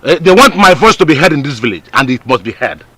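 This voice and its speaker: A man's voice: on the high side, big pitch swings, fast talker, crowd noise. An actor playing an indignant, protective middle-aged village father. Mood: outraged. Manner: outraged, rhetorical "eh?".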